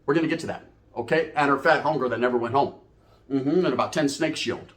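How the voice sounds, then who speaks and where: A man telling a comedic story, animated and slangy, swinging between loud bursts and low mutters. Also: fast. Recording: echoey room.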